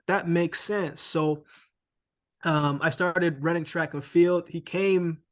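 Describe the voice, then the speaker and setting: A relaxed man from Jamaica, in his thirties, speaks as a guest on an interview show. His voice sits a little higher than most men's, and he tells his story in a loose, easy way, often adding "man" and "right?". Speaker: interview guest, former sprinter.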